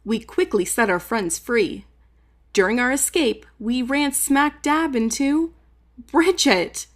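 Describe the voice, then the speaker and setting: High-pitched woman reading a children's storybook. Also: takes it slowly. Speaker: storybook reader. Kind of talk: expressive children's read-aloud, narrating in first person.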